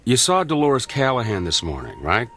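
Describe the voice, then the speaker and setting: An actor playing a concerned, reproachful senior doctor in a radio drama, speaking earnestly and chidingly. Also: male voice, medium pitch, very animated, normal speed, a bit bright, soft background music. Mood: exasperated, chiding.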